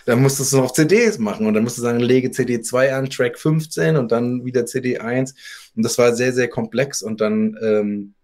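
A man's voice: medium pitch, normal speed, a little mellow. Conversational man telling anecdotes. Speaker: interview guest, audio drama author. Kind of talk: conversational interview, anecdotal storytelling.

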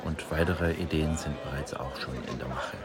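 Male, low-pitched, announcing releases interview-style, very loud crowd behind. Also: steady tone, moderate pace. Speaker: interviewee announcing upcoming audio dramas. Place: busy audio drama convention.

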